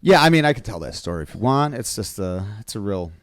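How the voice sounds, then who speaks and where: A man's voice: lowish voice, sing-song, fast talker, no room sound, clean studio sound. A man co-hosting a live stream, telling stories in a casual, slangy, profane way. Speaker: co-host of a live stream show. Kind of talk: casual banter and storytelling.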